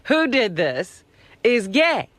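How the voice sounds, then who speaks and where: A woman co-hosting a radio show who answers with quick banter. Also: on the high side, very animated, fairly bright tone, no room sound. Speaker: radio co-host. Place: radio studio.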